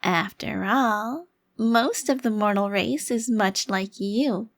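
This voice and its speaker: A woman's voice: mid-range voice, no room sound, studio quality. A woman playing a smug, taunting, youthful-looking vampire crime lord in an ASMR roleplay, with a theatrical, condescending delivery. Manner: theatrical, condescending, formal wording with drawn-out emphasis. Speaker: audio roleplay performer.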